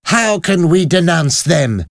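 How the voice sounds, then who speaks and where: Man as a shrill, spiteful woman conspirator. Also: sing-song, takes it slowly, vocal fry, no echo, studio quality, full character voice. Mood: spiteful glee.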